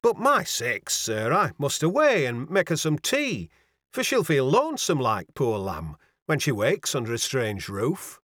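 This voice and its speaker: A man's voice: mid-range voice, huge pitch swings, measured pace, fairly bright tone, no room sound, clean studio sound, full character voice. A man voicing a fussy, kindly Yorkshire landlady in a storybook, exclaiming in deferential dialect and easily alarmed. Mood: chatty, wondering.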